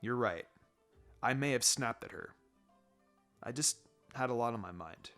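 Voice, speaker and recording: A man's voice: mid-range voice, animated, a little slow, a bit bright, pitched below the performer's own voice. A man voicing a sullen, irritable teenage boy ninja in a story reading, terse and grudging. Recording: music behind the voice.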